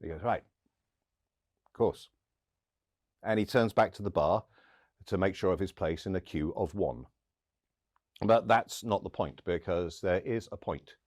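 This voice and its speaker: A man delivering a first-person story theatrically, with dramatic pauses and acted-out dialogue. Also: deep voice, darkish tone, no echo, studio quality. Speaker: storyteller and podcast host.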